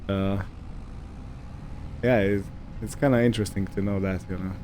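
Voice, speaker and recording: A man's voice: deep voice, steady tone, moderate pace, dark tone, a little hiss or hum. A man giving casual, musing gameplay commentary, hesitant and thinking aloud as he goes. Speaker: gaming video host.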